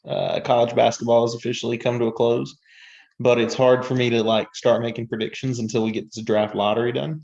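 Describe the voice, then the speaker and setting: A man's voice: medium pitch, vocal fry, darkish tone. A man from North Carolina co-hosting a podcast, chatting casually about sports, recorded with dull, lo-fi sound. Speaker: podcast co-host.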